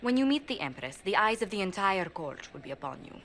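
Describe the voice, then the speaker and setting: An actress playing a poised court diplomat who gives measured, formal warnings in a game cutscene. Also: female voice, medium pitch, big pitch swings, a bit bright, a little hiss or hum. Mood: earnest. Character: poised, careful female court diplomat, adult. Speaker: diplomatic adviser. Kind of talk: video game cutscene dialogue.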